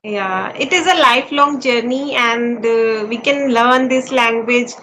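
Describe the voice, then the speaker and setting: A woman in her twenties from India with an Indian accent, chatting in a friendly, earnest way and pausing now and then to find her words. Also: on the high side, a bit bright, a little hiss or hum, poor audio. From India (Uttarakhand). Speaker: YouTuber and conversation partner. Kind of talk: casual conversation practice.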